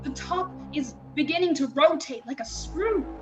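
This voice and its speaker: A woman playing an excitable radio reporter, an awed and alarmed eyewitness, in a live reading of a 1930s radio drama, with dramatic, suspenseful pauses. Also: high voice, music in the background. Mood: rising excitement.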